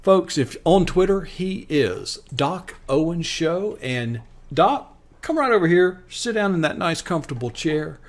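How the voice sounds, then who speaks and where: A man's voice: fairly high pitch, big pitch swings, a little slow. A man hosting a live online show, introducing and interviewing a guest with enthusiasm and many fillers. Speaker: host.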